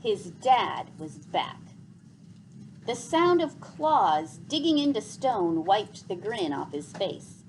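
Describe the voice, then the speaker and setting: A woman narrates a children's novel aloud in an expressive, deliberate, dramatic storybook style, as scripted reading. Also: medium pitch, a little hiss or hum, poor audio.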